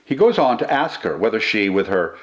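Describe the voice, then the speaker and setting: An older man with a low-pitched voice narrates like a literature teacher. His delivery is measured and articulate, and his intonation rises and falls widely as he explains. The style is scripted and instructive. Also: very animated, dull audio. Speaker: poetry reader and commentator, host.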